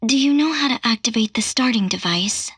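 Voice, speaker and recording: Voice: medium pitch, animated, brisk, a bit bright, slightly rough, no room sound, studio quality. An actor playing a formal, detached young artificial-intelligence girl in a video game, speaking in stiff, precise wording. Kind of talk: scripted video game dialogue.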